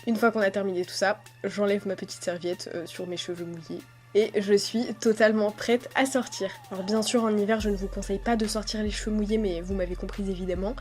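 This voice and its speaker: Young woman, nasal, congested from a cold, medium pitch, relaxed chatty voice-over, faint music behind. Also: brisk. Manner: chatty, relaxed, with frequent hesitations. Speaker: beauty vlogger. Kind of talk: voice-over narration of a skincare routine. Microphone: voice-over recorded separately.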